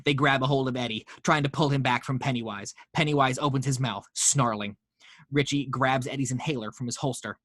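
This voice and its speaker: A man reads the action lines of a script at a home table read, brisk and matter-of-fact. His voice sits at a middle pitch, and the sound is close and dry with no room echo. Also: fairly even intonation, fairly bright tone. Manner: brisk reading of stage directions. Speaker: narrator reading action lines.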